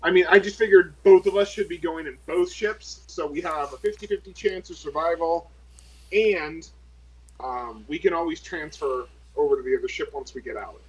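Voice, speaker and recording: Higher-pitched, husky man at game night. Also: normal speed, low-quality recording. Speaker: tabletop game player. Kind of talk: casual game table chat.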